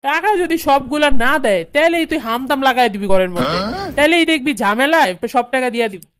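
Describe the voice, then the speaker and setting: A woman's voice: sits high, lively intonation, fast talker, fairly bright tone. A woman voicing a meddling, scheming middle-aged cartoon mother-in-law with a goading manner and a Bangladeshi accent. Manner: goading, opinionated. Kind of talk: comedy cartoon dialogue.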